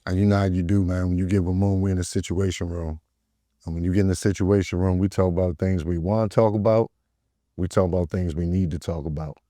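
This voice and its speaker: A man's voice: sits low, fairly even intonation, fast, warm tone, no echo, studio quality. A man hosting an interview podcast, talking loose and streetwise, swearing freely. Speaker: podcast host. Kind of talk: casual interview chat.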